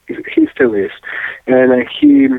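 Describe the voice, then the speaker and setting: A man from Argentina, speaking as an interview guest, who talks haltingly with many "uh" and "um" fillers. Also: mid-range voice, wildly swooping pitch, vocal fry, slight background noise. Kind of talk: casual testimony interview. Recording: dry tinny phone-like line.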